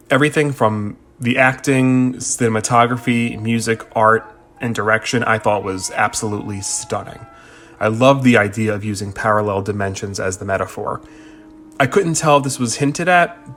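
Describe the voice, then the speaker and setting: A man's voice: medium pitch, a bit bright. A man with an American accent giving a prepared spoken review as a podcast listener, articulate and orderly. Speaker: listener leaving feedback for a podcast.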